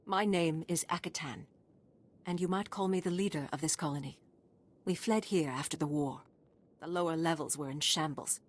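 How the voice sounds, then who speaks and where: A woman's voice: low-pitched, moderate pace. An actress playing a proud, wronged female alien refugee leader in a video game, speaking formally and solemnly. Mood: pleading, solemn. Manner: formal, solemn, impassioned pleading. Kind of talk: scripted video game dialogue.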